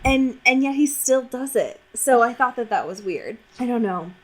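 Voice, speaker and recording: A woman co-hosting a podcast, casually recapping a book in a sarcastic, giggly, expressive way. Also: fairly high pitch, moderate pace, poor audio. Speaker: podcast co-host.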